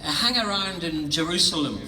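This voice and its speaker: An older man from the Scottish Highlands with a Scottish accent, telling playful, theatrical stories in answer to audience questions at a theatre show. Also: fairly quick, sharp tone. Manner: playful, theatrical, hopping between high and low tones, laughing often. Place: large theatre hall. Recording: stage mic in a reverberant hall, heard from a distance.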